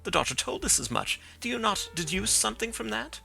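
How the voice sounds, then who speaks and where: Man voicing a sharp calm detective, very gravelly, forceful tone, measured and precise. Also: high-pitched, faint music behind, voice pushed up, exaggerated voice. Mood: reasoning.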